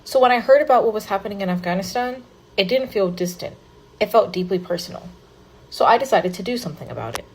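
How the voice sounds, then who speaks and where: A woman's voice: mid-range voice, expressive, fast, husky, rough recording. A woman narrating in first person as a young man recounting his life story, earnest and read from a script.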